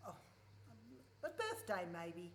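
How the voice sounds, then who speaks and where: Woman acting older farm mother, prickly, wry, very animated pitch, roomy noisy live radio play. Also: slow pace, heavily acted. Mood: wry reminiscing. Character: prickly, wry ageing farm mother, protective of her daughter. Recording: roomy live recording with clear background noise.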